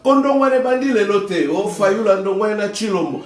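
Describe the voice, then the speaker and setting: A man from Congo lays out his political views like a commentator, leaning on proverbs and speaking to the listener as "maman". His voice sits high for a man. The recording is roomy, and he sounds set back from the microphone. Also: animated, normal speed, distant mic. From Congo (DRC). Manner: explanatory, uses proverbs, addresses listener as maman. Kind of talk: political talk show commentary.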